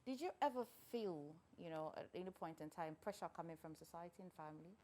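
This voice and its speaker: A woman's voice: lowish voice, expressive, fast talker, mellow. A woman co-hosting a morning talk show, asking curious questions. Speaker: co-host.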